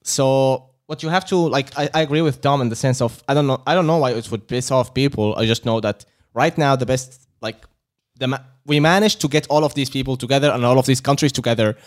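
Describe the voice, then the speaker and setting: A man from Syria in a casual discussion, talking quickly with lots of "like"s. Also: medium pitch. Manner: quick, filler-heavy talk with many "like"s.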